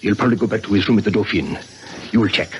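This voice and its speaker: An actor playing a menacing waiter who is a hired killer, smooth and threatening. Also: male voice, on the low side, racing, a little hiss or hum, close to an everyday voice. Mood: cold. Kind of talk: 1950s radio drama acting.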